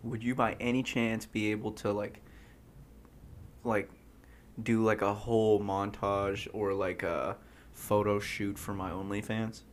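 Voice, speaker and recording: A young man in his twenties, co-hosting a podcast in animated, joking casual chat. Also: fairly low pitch, unhurried, slight background noise. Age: 20s. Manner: animated, jokey, says bro a lot. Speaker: podcast co-host.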